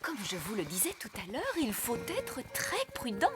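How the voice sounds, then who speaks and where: A woman voicing a bossy fairy nanny who works as a tooth fairy, brisk, theatrical and admonishing, in a dubbed children's cartoon. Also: fairly high pitch, huge pitch swings, loud music behind the voice. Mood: cautious, admonishing.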